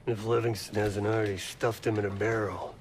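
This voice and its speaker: An actor playing a gruff, cynical veteran operative in a game, with a gravelly voice and a blunt, sardonic manner. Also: male voice, on the low side, level tone, a little fast, background noise, lightly acted. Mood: sardonic, distrustful. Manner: sardonic, blunt, folksy sayings. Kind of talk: scripted game cutscene dialogue. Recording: loud music over the voice. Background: loud music.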